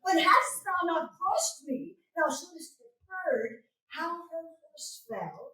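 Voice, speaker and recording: A woman's voice: extremely high pitch, very animated, slow pace, sharp tone, a little hiss or hum, full character voice. A woman playing a weary, cheeky comic servant in a staged Shakespeare reading, exclaiming theatrically. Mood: cheeky storytelling. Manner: declamatory, exclaiming. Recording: very echoey room from far off.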